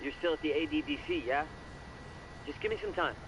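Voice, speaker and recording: A casual male informant in a video game, played by an actor. He is obliging and offhand, with a slightly high voice. Noise is clearly audible behind him. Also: lively intonation, fairly quick, highs cut off, natural voice.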